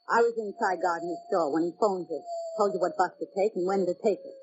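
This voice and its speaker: A woman's voice: on the low side, fairly quick, close to an everyday voice. A woman playing a bold, evasive young woman with a gun in an old-time radio drama, talking tough and coy. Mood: persuasive. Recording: noisy, dull vintage radio recording.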